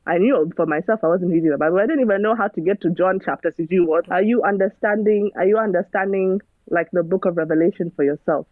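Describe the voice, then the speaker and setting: A woman's voice: lowish voice, quick pace, hardly any pauses, dry recording. A woman, a guest in a casual conversation, talking earnestly and turning preachy, firing off rhetorical questions. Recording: dry, phone-like narrow sound.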